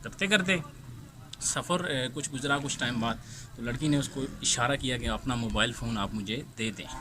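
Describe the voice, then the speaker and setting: Male host, Pakistani accent, mid-pitched. Halting, repetitive storyteller with rhetorical questions, over clearly noisy amateur sound. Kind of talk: informal storytelling to camera.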